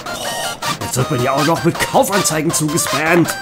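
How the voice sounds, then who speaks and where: A man's voice: fairly high pitch, normal speed, over loud music, close to an everyday voice. A man playing an easily exasperated young guy in a comedic audio drama, colloquial and exclamatory. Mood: casual.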